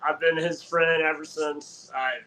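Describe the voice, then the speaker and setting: A man's voice: fairly high pitch, level tone, a little slow, sharp tone, rough recording. A young man hosting a podcast, asking questions in a friendly way with lots of fillers. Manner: friendly, hesitant with many fillers. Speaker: podcast host. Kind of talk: casual podcast interview.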